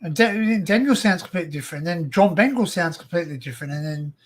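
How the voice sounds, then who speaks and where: Higher-voiced Australian man, excitable and rambling. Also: quick pace. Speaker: livestream host. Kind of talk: casual livestream chat.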